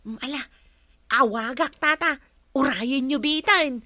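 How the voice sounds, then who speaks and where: A woman's voice: high voice, a little slow, pushed and bright, no echo. An actress playing a helpful, polite young village woman in a radio drama.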